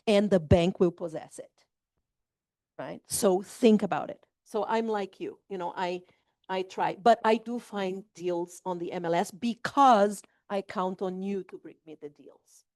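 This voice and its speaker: A woman's voice: medium pitch, measured pace, a little mellow, dry recording. Candid woman flipper on a panel. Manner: candid, emphatic, community-minded. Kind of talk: seminar panel talk.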